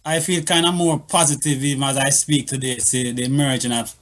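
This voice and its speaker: A man's voice: mid-range voice, fairly even intonation, a little fast, a crowd in the background. A man talking as a panelist on a radio show over a call, earnest and full of "you know". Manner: earnest, patois phrasing, lots of "you know". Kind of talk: casual radio chat. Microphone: video call.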